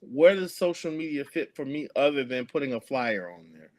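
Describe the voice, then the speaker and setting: A man's voice: medium pitch, animated, normal speed, poor audio. A man, speaking earnestly as an activist guest in an interview, with many fillers and restarts. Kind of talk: conversational interview.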